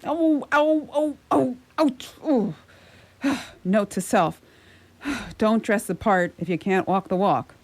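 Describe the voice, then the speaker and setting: An actor playing a clumsy middle-aged would-be superhero, muttering wryly in a radio comedy. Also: male voice, high-pitched, slow, exaggerated voice. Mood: pained, grumbling. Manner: wry, muttering, groaning self-talk. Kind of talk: live radio comedy drama acting.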